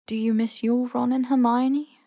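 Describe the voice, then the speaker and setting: A woman playing a young witch, a gentle and careful friend, in a story reading. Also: fairly high pitch, level tone, normal speed, dry recording, studio quality, lightly acted.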